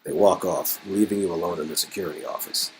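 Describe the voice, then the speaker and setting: A man's voice: low voice, steady tone, fast talker, a little hiss or hum, poor audio. A man reading a story game aloud as host, playfully dramatizing it and breaking off into joking commentary. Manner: playful, riffing, swings into exclamations and mock voices.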